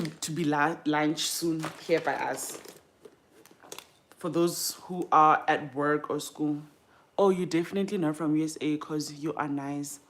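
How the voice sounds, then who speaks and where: A woman's voice: low voice, slight background noise. A young woman with a South African accent chatting casually and informally to livestream viewers, thinking aloud and trailing off mid-thought. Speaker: livestream host. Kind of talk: casual chat while doing makeup.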